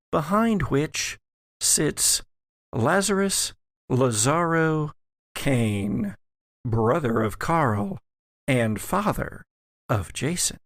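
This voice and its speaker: A man narrating as game master of a horror tabletop game, measured and dramatic, with deliberate pauses. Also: medium pitch, no room sound. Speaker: game master, podcast host.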